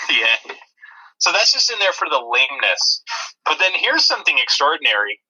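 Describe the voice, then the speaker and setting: Very bright, higher-voiced man chatting. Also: very animated, normal speed, husky, rough recording. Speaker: podcast co-host. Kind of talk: casual chat about video-game movies.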